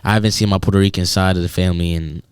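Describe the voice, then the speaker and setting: Young New man, low smooth voice. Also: steady tone, rapid-fire, no room sound, studio quality. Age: young adult. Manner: slangy, hype, repeats phrases. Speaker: podcast host. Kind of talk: casual banter on a group podcast.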